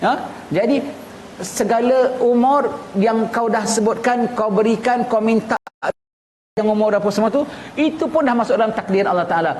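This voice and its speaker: A man's voice: very high voice, normal speed, a little mellow. A man from Singapore with a Singaporean Malay accent gives a religious lecture as an Islamic teacher, explaining conversationally with repeated phrases and rhetorical questions. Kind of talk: religious lecture, reading a text and explaining it colloquially. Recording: echoey room with clear background noise.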